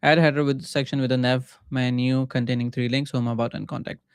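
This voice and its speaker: A man from Pakistan with a Pakistani accent and Urdu as his first language, casually thinking aloud in short halting phrases as he live-streams coding. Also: mid-range voice, flat delivery, smooth, no echo, clean studio sound. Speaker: livestreaming coder and mentor.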